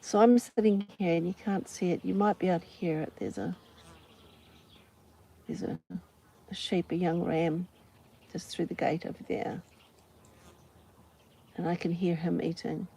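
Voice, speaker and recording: A woman with a New Zealand accent musing aloud in short unhurried phrases with pauses, in an unscripted personal ramble recorded outdoors. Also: lowish voice, steady tone, vocal fry, mellow, slight background noise. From Auckland, New Zealand. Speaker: host of a personal ramble vlog. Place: outdoors on a farm doorstep. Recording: outdoor ambience, buzzing flies and insects. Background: flies, insects, farm animals.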